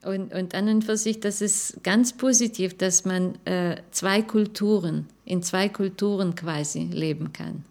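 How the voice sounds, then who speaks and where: A woman in an interview speaking in a thoughtful, measured way. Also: medium pitch, steady tone, vocal fry, a little mellow.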